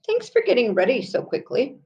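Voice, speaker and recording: A woman's voice: fairly high pitch, huge pitch swings, fairly quick, a little mellow, highs cut off, voice pushed up. A woman voicing a cheerful, dreamy storybook mother with lilting delight.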